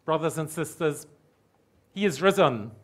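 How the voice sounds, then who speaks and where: A man's voice: on the high side, expressive, a bit bright. Middle-aged male pastor preaching from the pulpit. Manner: emphatic, repeats key phrases slowly. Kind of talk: sermon. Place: church hall with stage curtains.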